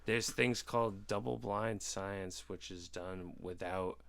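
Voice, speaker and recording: A man's voice: deep voice, fairly even intonation, slow pace, vocal fry, a crowd in the background. A man talking casually and earnestly, explaining his point in a loose debate. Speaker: podcast participant in discussion.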